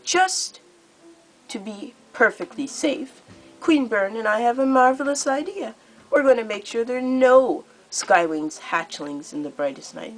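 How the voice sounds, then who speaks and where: A woman's voice: a little high, expressive, measured pace, faint music behind, pitched above the performer's own voice, rough recording. A young woman voicing a cruel, theatrical dragon queen in a storybook, gloating and stretching out her words. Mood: menacing, sweet.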